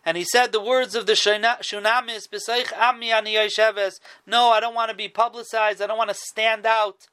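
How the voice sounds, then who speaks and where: High-voiced man telling a rabbinic story. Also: flat delivery, moderate pace, hardly any pauses, pushed and bright, clean tone. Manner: restarts and repeats words, Yiddish and Hebrew terms. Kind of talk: casual spoken Torah storytelling.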